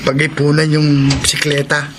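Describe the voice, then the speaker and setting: An actor playing an earnest, considerate schoolboy from a poor family in a radio drama, respectful and gently pleading. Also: male voice, fairly high pitch, monotone, moderate pace, noisy background, natural voice. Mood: earnest, apologetic. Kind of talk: Tagalog radio drama acting.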